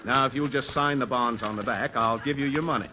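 A man's voice: medium pitch, fairly quick, lightly acted. A man playing a formal, precise bank official in a 1940s radio comedy. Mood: officious. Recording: noisy, muffled 1940s radio recording.

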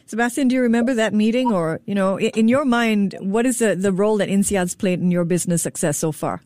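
A woman's voice: medium pitch, quick pace, darkish tone, no echo, clean studio sound. A woman hosting a business interview on the radio, presenting and questioning her guests.

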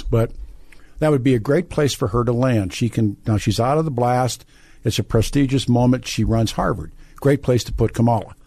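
A man's voice: mid-range voice, warm tone, slightly rough, no echo. A man with an American accent hosting a conversational radio call-in show, often repeating and restarting his phrases. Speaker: radio talk show host. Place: radio studio.

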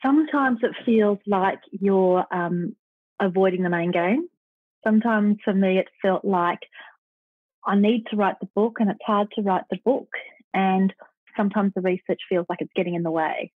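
A woman's voice: mid-range voice, moderate pace, no room sound. Australian woman author on a phone line. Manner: thoughtful, with fillers and restarts. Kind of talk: interview and reading aloud.

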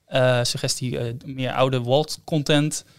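A man co-hosting a podcast in casual chat, with hesitant, halting phrasing. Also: medium pitch, steady tone, smooth, no room sound, studio quality. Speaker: podcast co-host.

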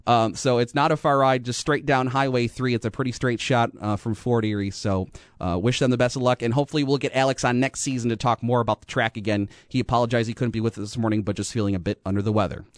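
An American man hosting a motorsport radio show, talking informally and haltingly, often rephrasing mid-sentence. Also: medium pitch, steady tone, dry recording, clean studio sound. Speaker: radio host. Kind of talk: motorsport talk radio monologue.

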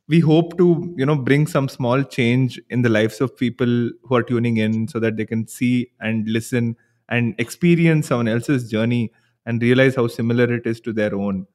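A man with an Indian accent hosting a casual podcast conversation, chatty and given to linked asides. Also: mid-range voice. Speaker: podcast host.

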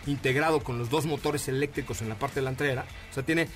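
A man's voice: mid-range voice, brisk, fairly bright tone, soft background music. A man with a Mexican Spanish accent hosting a radio car show, talking enthusiastically with jokes, slang and lots of fillers. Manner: enthusiastic, jokey, slang-heavy, many fillers. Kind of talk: casual radio chat.

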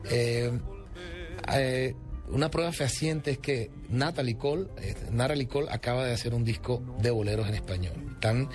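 A man's voice: mid-range voice, normal speed, music in the background. A man, a Colombian singer, talks expansively and with enthusiasm about his album in a radio interview. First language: Spanish. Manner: expansive, enthusiastic, lists songs. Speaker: singer guest.

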